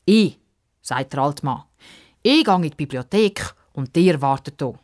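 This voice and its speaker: A woman's voice: deep voice, animated, unhurried, darkish tone, slight background noise. A woman voicing a jovial, folksy old man in a children's story, given to eager exclamations. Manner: exclaiming, muttering to himself.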